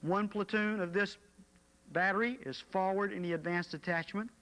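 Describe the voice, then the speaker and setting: A man giving formal, measured scripted narration for a military training film, recorded on an archival film soundtrack. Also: high voice, bright, forceful tone. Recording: archival film with long reverb.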